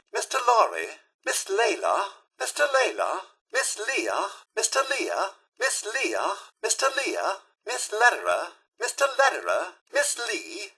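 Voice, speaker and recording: A man's voice: high voice, sharp tone, breathy edge, heavily acted, rough recording. A man voicing a polite, fussy robot butler in a video game, with a formal, theatrical way of addressing people. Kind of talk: video game voice lines, reciting names as greetings.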